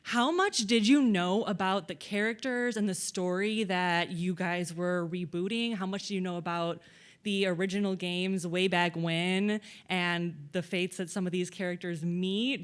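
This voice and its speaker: Clear-voiced woman asking a question. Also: lowish voice. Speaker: audience member asking a question. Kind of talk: spoken audience question.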